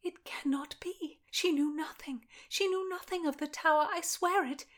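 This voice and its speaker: A woman's voice: high voice, normal speed, pitched above the performer's own voice, close to an everyday voice. Woman voicing a terrified pleading young maid. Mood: desperate. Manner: breathless, pleading, half-whispered.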